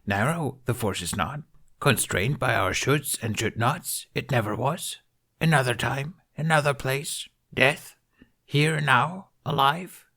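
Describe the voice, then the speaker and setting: A man doing an ancient little alien master with a raised, wavering voice and oddly inverted phrasing. The words come slowly and cryptically, with the patience of great age. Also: mid-range voice, vocal fry, pushed and bright, voice pushed up, full character voice. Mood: musing.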